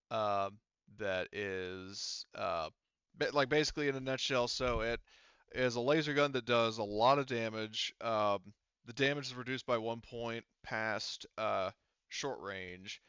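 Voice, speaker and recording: A man running a tabletop game as game master, explaining rules casually in a halting, rambling way with self-corrections. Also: mid-range voice, bright, forceful tone, smooth, no echo, dull audio.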